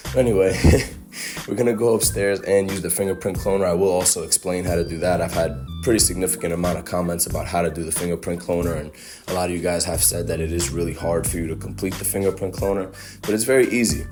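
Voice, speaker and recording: Young man, very low voice, slightly hoarse, laid-back walkthrough talk, loud game music behind. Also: steady tone, quick pace. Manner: laid-back, chatty, with many fillers. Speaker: gaming guide creator. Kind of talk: casual game walkthrough commentary.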